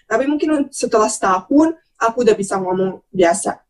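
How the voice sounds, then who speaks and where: A young woman from Bosnia, telling stories casually as a podcast guest, talkative and expressive, mixing in English words. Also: medium pitch, a little fast. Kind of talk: casual conversational storytelling.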